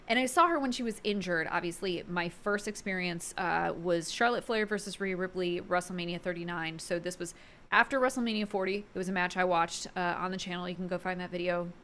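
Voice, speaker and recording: A woman's voice: fairly low pitch, a little fast, fairly bright tone, a little hiss or hum. A woman giving casual, enthusiastic opinion commentary as a video host, chatty and informal with emphatic delivery.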